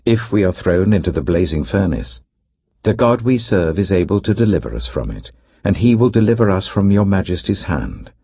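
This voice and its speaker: A British man voicing a steadfast, defiant captive answering a king with formal, calm resolve, in a scripture audiobook. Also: sits low, moderate pace, slightly rough, heavily acted. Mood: resolute.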